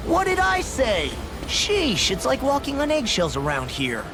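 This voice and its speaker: An actor playing a brash, sarcastic young fighter in a video game, talking in blunt slang over music. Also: male voice, extremely high pitch, wildly swooping pitch, measured pace, background noise, heavily acted. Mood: exasperated. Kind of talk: English video game cutscene acting.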